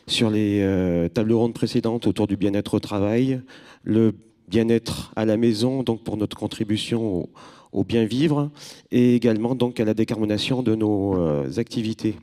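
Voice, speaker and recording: A middle-aged man speaking for his company on a convention panel into a handheld mic, in a measured corporate way with many fillers. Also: on the low side, warm tone. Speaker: industry representative on a panel. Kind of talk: panel discussion. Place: convention stage, large hall.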